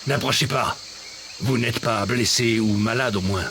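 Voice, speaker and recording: A man's voice: medium pitch, unhurried, gravelly, background noise. An actor playing a wary, haunted male crash survivor in a video game, speaking.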